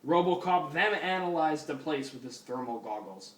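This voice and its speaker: A man's voice: a little high, animated, moderate pace, fairly bright tone. A man giving a comic dramatic reading of fan fiction, amused and apt to break off to comment. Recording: echoey room, distant mic, faint noise.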